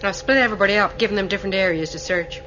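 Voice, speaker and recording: Actress as female starship captain, authoritative, probing, clear-cut bright tone, faint noise, radio drama. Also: mid-range voice, rapid-fire, muffled recording, lightly acted. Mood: brisk, businesslike. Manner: authoritative, businesslike.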